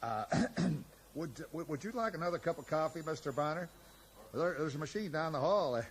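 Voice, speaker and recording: A man acting as a kindly small-town American sheriff in middle age, with a slightly high voice. He talks in a folksy, halting, apologetic way. It is a 1980s radio play with clear noise in the recording. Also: lively intonation, natural voice. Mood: hesitant, awkward. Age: middle-aged. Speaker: actor.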